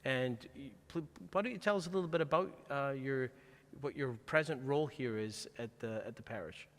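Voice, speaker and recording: A man's voice: medium pitch, a little fast. Man hosting, in a long hall reverb. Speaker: parish pastor hosting a live interview. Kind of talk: conversational live interview hosting. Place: church, before the altar.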